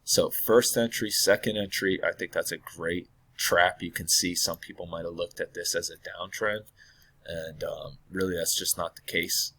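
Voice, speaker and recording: A man walking through a trading chart in a casual, hesitant way, hoarse and losing his voice from illness, often clearing his throat and correcting himself. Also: low voice, steady tone, normal speed, sharp tone. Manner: thinking aloud, hesitant with many fillers and self-corrections. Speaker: trading analyst.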